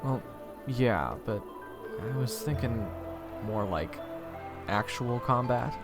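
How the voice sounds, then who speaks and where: A man's voice: mid-range voice, lively intonation, takes it slowly. A man playing an eager but nervous young soldier who narrates his fantasy adventure with wry self-deprecation. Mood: hesitant, earnest. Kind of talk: first-person fantasy audio drama narration. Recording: orchestral game music behind.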